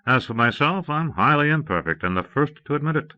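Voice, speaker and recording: A man's voice: on the high side, expressive, a little fast, dry recording, pitched above the performer's own voice, lightly acted. A man reading an audiobook, voicing a haggard, self-doubting man who argues in an agitated, pleading, insistent way. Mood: self-deprecating. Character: haggard, anxious, self-doubting man refusing his brother. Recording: muffled old cassette audiobook transfer.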